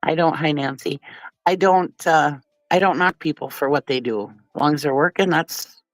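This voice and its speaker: A woman's voice: low voice, normal speed, dry recording, soft background music. A woman with a stuffy nose from a cold, hosting a casual livestream and chatting bluntly with viewers, often laughing and swearing. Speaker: livestream commentary host.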